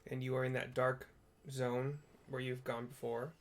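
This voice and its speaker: A man's voice: medium pitch, monotone, normal speed. Man casually narrating a video game. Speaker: game streamer narrating a playthrough. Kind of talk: casual descriptive commentary.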